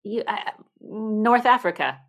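A woman's voice: mid-range voice, lively intonation, slow pace, gravelly. A woman hosting an interview, playful and chatty, often breaking off and restarting her sentences. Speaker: podcast host. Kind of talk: casual interview conversation.

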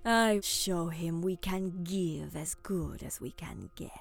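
Woman playing a slow, hushed alien commander. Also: on the low side, creaky, full character voice. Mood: grim, vengeful. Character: grim, focused female alien commander and sharpshooter. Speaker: narrator and lead character. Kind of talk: first-person audio drama narration. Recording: music under the voice.

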